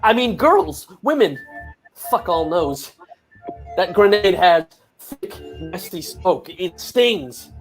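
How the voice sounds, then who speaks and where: A man's voice: high voice, big pitch swings, slow, faint music behind, heavily acted. An actor playing a rowdy male football fan who narrates coarsely and boastfully. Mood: grim.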